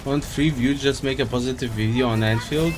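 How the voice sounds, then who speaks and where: A man's voice: mid-range voice, brisk. A man streaming a game, chatting casually and rambling as he thinks aloud. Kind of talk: casual live-stream chat while playing. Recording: game music behind the voice.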